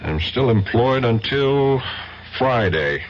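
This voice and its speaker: An actor in an old radio drama playing a stubborn, sardonic American narcotics agent with a dry delivery. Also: male voice, medium pitch, expressive, slow. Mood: sardonic, resigned. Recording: dull, hissy old radio recording.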